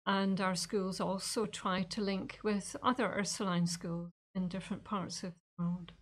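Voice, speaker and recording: A woman from Scotland, a visiting nun answering thoughtfully with frequent pauses. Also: medium pitch, flat delivery. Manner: thoughtful, with many ums and uhs. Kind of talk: casual interview answers.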